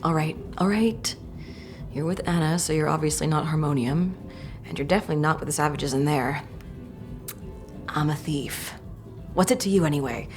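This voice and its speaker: Actress doing a sarcastic lady thief, low gravelly grumble, hushed and wary, fantasy audio drama. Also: normal speed, long pauses, faint music behind. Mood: sardonic, defensive. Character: wary, sardonic young female thief in hiding.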